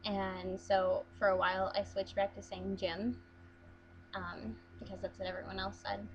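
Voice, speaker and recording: A woman's voice: medium pitch, flat delivery, moderate pace, muffled recording, a crowd in the background. A young woman from Las Vegas chatting casually through an accent challenge, hesitant with frequent ums and restarts. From Las Vegas, USA. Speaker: vlogger.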